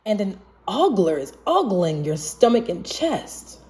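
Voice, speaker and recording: A woman reading a rhyming children's storybook aloud in an expressive, measured manner. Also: mid-range voice. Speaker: children's book reader.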